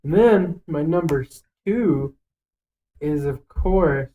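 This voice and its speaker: A man's voice: a little high, lively intonation, takes it slowly, background noise. A man co-hosting a casual podcast, talking in a blunt, foul-mouthed and emphatic way as he gives his opinions. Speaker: podcast co-host. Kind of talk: casual podcast chat, ranking list.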